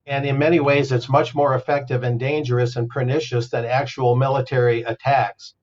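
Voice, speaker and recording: A male co-presenter making a steady, argumentative case in a news discussion. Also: medium pitch, normal speed, dull audio. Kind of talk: discussion commentary.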